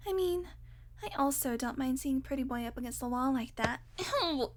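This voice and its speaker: A woman's voice: sits high, animated, moderate pace. A woman playing a hungry, irritable female vampire in an audio roleplay, sardonic and foul-mouthed, with teasing pet names. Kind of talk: audio roleplay acting, one-sided dialogue.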